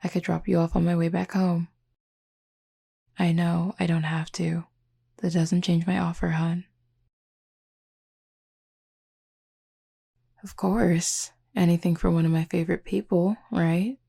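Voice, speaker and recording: A flirty werewolf woman, played by a voice actress in an intimate audio roleplay. Her low voice is thick with gravelly fry and husky at the edges. She talks in an unhurried, nonchalant way, keeping her intonation very even. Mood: warm, flirty. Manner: casual, unhurried, teasing, nonchalant. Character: flirty laid-back female werewolf, tattooed, scarred, protective.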